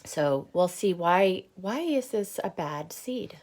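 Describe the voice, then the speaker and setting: A woman reads a picture book to children at an unhurried pace. Her voice sits slightly low, and she breaks off for gentle comments and questions to her young listeners. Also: expressive. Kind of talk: read-aloud storytime with commentary for children.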